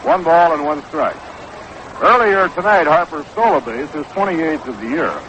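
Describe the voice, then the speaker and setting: A man calling baseball play-by-play on 1960s radio, measured and descriptive, on an old broadcast recording. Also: a little high, expressive, a bit bright. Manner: measured, descriptive calls that quicken on action. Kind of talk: 1960s live radio sports commentary. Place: outdoor ballpark broadcast position. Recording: noisy, dull vintage 1960s radio broadcast.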